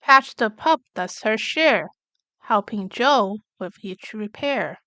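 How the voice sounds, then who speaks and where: Female children's story reader: unhurried, smooth and clear, expressive, carefully enunciated for little listeners, dry close sound. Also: medium pitch, no room sound. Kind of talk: expressive read-aloud of rhyming picture book.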